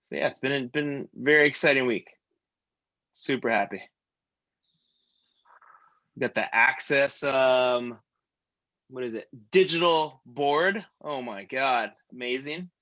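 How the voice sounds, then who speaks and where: A man in his thirties leading a stretch class, joking between calm breathing cues. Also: mid-range voice, takes it slowly, long pauses. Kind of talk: guided stretching class with casual chat.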